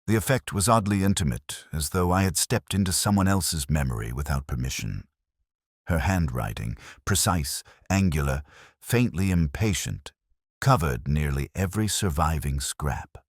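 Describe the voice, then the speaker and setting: A man voicing a brooding, introspective police detective who recounts a case in measured, grave, literary first-person narration for a mystery audio drama. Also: extremely low pitch, lively intonation, no room sound, studio quality. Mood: pensive, grave.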